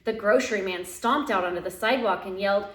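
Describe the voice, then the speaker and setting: A woman reading a children's picture book aloud at home in an expressive, dramatic way, drawing out key phrases. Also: mid-range voice, quick pace, a little hiss or hum. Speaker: teacher reading aloud to young pupils. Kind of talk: animated children's storybook read-aloud. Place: living room at home. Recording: roomy, echoey home recording.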